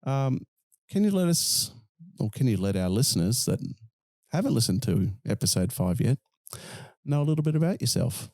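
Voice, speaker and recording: A man's voice: mid-range voice, big pitch swings, normal speed, gravelly, a little mellow, no echo, studio quality. A man hosting a podcast, introducing his guest and asking long, rambling questions. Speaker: podcast host.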